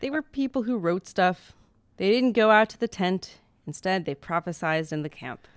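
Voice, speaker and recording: A woman's voice: mid-range voice, expressive, normal speed, clear, resonant tone, no room sound, clean studio sound. A woman guest on a comedy podcast, reading scripture aloud with playful drama and chatting in between. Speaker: guest reader.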